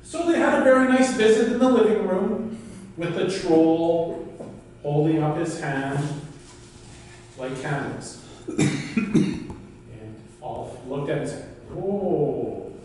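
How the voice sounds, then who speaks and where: A man telling a folk tale as a live speech, with deliberate, theatrical pauses and emphasis. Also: a little high, a little mellow. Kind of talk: animated live storytelling speech. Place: indoor club meeting room. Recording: very echoey room, distant mic, faint noise.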